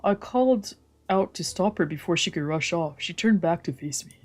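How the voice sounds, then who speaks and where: A woman's voice: lowish voice, expressive, normal speed. A woman streamer reading a visual novel aloud as narration, with casual commentary of her own.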